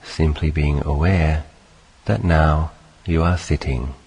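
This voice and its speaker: A man leading a guided meditation, speaking calmly in short, unhurried phrases with long pauses between them. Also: extremely low pitch, slightly rough. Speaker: meditation teacher.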